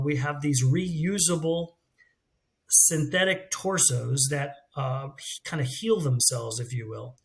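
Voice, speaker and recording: A middle-aged American man, a company CEO, explaining his work fluently in a business interview. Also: a little high. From United States. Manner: fluent, explanatory, with some restarts.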